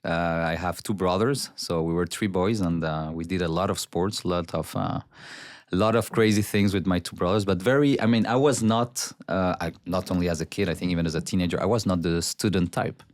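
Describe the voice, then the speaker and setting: A man whose first language is Spanish, born in Costa Rica and raised in Switzerland, answering interview questions in a relaxed, measured way with many hesitant fillers. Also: low-pitched, dry recording, clean studio sound. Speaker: guest, pharma country director.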